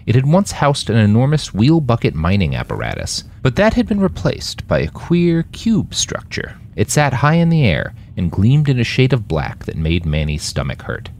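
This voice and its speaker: A man's voice: medium pitch, huge pitch swings, normal speed, few pauses, darkish tone, slight background noise. A man narrating scripted fiction as an audiobook-style story. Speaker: author and narrator.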